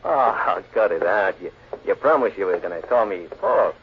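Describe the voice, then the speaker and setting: An actor playing a thin, excitable little American club owner, eager and stammering, in 1940s radio crime drama style. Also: male voice, fairly low pitch, moderate pace, creaky, husky, full character voice. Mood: eager, flirtatious. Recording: muffled old radio broadcast.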